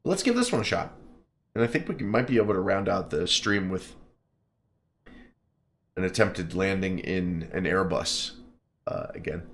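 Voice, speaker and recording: A man's voice: sits low, animated, normal speed, pauses often, a bit bright, a little hiss or hum. A man streaming a flight simulator, chatting casually and reading game text aloud with jokes and stumbles over place names.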